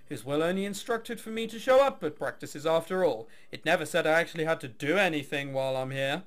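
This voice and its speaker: A man's voice: fairly high pitch, expressive, a little fast, close to an everyday voice. Man reading as a sarcastic, apathetic young heiress. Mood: cynical, uninterested. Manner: sarcastic, bored, crass. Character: jaded, apathetic young woman, reluctant orchestra heiress.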